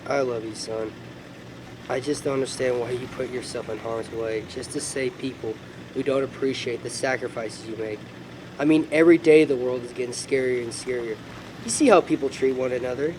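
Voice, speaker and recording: A man's voice: medium pitch, fairly even intonation, moderate pace, noisy background, natural voice. A man acting as God, a heartbroken, loving father with a sorrowful, reflective manner in film dialogue.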